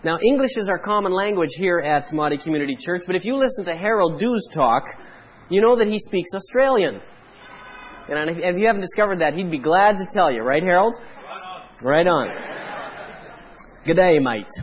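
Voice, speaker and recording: Canadian pastor preaching, high voice. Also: male voice, lively intonation, a little hiss or hum, poor audio. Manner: measured preaching with emphatic pauses. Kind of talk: sermon.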